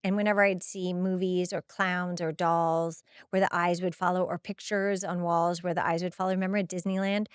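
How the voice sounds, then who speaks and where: A woman's voice: fairly low pitch, moderate pace, few pauses, clean tone, dry recording, clean studio sound. A woman hosting a podcast, giving a casual, chatty and emphatic recap of a TV episode. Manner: expressive, emphatic, chatty, acts out quoted dialogue. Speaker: podcast host.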